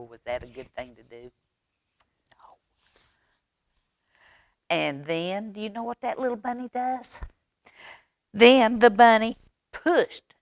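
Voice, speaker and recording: A woman tells a children's story in a slightly low voice at a very leisurely pace. She reads expressively, with dramatic pauses and coaxing questions for young listeners. The sound is close and dry. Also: dry recording. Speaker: library storytime reader. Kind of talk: children's read-aloud storytelling.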